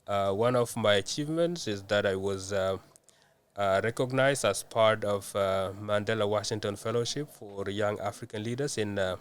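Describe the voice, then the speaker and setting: A young man from South Sudan answering interview questions in a measured way, with many hesitant fillers. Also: on the low side, smooth. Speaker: guest.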